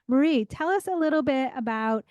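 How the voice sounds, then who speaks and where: A woman's voice: high-pitched, expressive, brisk, a little mellow, no echo, muffled recording. Podcast host woman with a dry close sound. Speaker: podcast host, business coach. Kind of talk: interview hosting.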